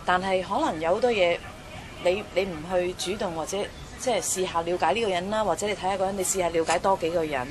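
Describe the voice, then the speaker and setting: A woman's voice: on the low side, quick pace, background noise. A woman with a Hong Kong Cantonese accent giving casual advice in a colloquial, explanatory way with hypothetical examples, people chattering behind her. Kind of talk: casual discussion of dating advice.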